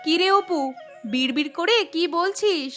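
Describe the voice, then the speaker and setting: A woman's voice: very high voice, normal speed, a bit bright. A woman voicing a fussing, insistent village mother in a fairy tale cartoon. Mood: concerned. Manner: insistent, questioning. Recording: music under the voice.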